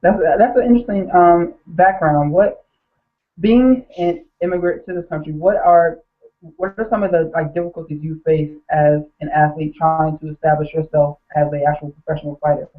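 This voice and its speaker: Man, interviewer, higher voice, tinny phone-like sound, polite with fillers. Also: fairly even intonation, normal speed. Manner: polite, uses many fillers.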